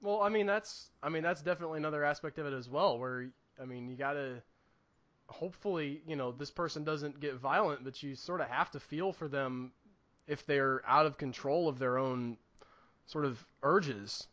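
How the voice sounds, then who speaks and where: A man's voice: a little high, moderate pace, fairly bright tone, dull audio. A man co-hosting a podcast in casual chat, with a wry, opinionated and mildly sweary manner. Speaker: podcast co-host reviewing movies.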